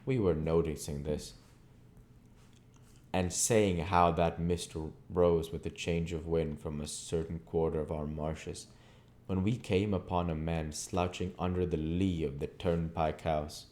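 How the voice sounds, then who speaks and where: A man reading aloud as a narrator, in a measured, literary manner. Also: low-pitched, fairly even intonation, a little mellow. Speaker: audiobook reader, channel host. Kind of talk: scripted narration of a classic novel.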